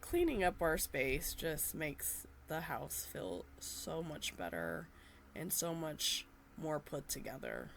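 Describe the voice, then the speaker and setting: A woman talks through her gardening in a gentle, slow and easygoing manner, often pausing on little fillers. Her voice sits low and sounds a touch nasal, as if she is fighting a cold. Faint noise hums under the recording. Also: big pitch swings, gravelly. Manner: gentle, unhurried, with frequent fillers. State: slightly nasal from a cold or allergies. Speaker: gardening vlogger. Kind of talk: voice-over narration of gardening.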